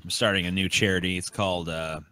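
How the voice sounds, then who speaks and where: A man's voice: low voice, steady tone, fast, pushed and bright, dry recording. A man co-hosting a podcast in casual, joking chat, swearing crudely and making mock boasts. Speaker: podcast co-host.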